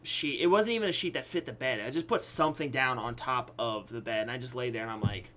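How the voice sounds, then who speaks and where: A young adult man with a bright, punchy voice tells a candid story in a casual online video style. He acts out bits of dialogue, leaves dramatic pauses and keeps checking in with his listeners. His pitch sits in an ordinary male middle range. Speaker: YouTube storyteller.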